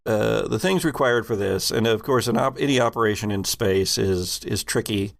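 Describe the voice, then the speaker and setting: A man with a lowish voice serves as game master of a tabletop game. He improvises his narration and explanations, stopping often mid-phrase as he picks his words. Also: normal speed, gravelly.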